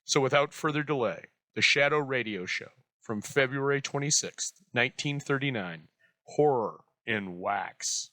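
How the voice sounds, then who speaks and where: A male host reads a scripted introduction in a bright, crisp tone at medium pitch. His phrasing is literary and measured, recorded very dry and close. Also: expressive, dry recording. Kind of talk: scripted narration introducing vintage radio.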